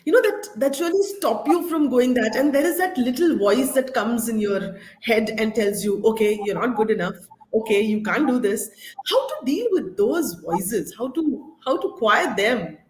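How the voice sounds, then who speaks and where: A woman's voice: a little high, expressive, normal speed, a little mellow. A woman with an Indian accent hosting a conversational live interview. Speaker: interview host. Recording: roomy echo.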